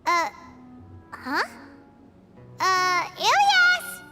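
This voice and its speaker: Squeaky, extremely high little companion. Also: female voice, drawn-out words, exaggerated voice. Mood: curious, puzzled. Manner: squeaky, excitable. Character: tiny, childlike, curious companion sprite. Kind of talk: video game voice acting. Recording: music behind the voice.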